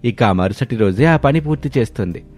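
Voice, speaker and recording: A man's voice: medium pitch, animated, brisk, a little mellow. A man narrating a scripted moral story.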